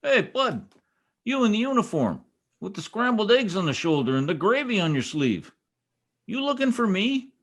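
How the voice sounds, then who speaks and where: A man's voice: high voice, sing-song, moderate pace, fairly bright tone, heavily acted. A man playing a boastful, bumbling, long-winded husband in folksy old-time radio comedy style. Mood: brash. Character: blustering, long-winded, self-important husband, a tall-tale teller. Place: at home on a video call.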